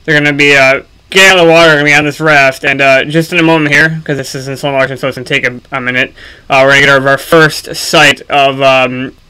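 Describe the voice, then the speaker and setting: A man giving casual, unscripted gaming commentary for a video, speaking haltingly with frequent ums and pauses. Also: medium pitch, steady tone, bright, forceful tone, clear, resonant tone, low-quality recording.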